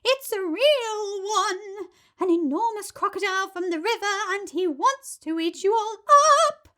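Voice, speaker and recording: A woman voices a roly-poly little bird from a children's tale. It is high-pitched and alarmed, crying out in a loud sing-song. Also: measured pace. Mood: urgent.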